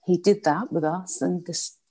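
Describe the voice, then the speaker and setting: A woman in her sixties from Nigeria with a well-spoken London English accent, telling her life story in an interview with halting restarts. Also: fairly low pitch, fairly even intonation, dark tone, husky. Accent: English, London middle class. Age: 60s. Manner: rambling, halting storyteller with many restarts and fillers. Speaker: guest. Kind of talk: casual interview, personal storytelling.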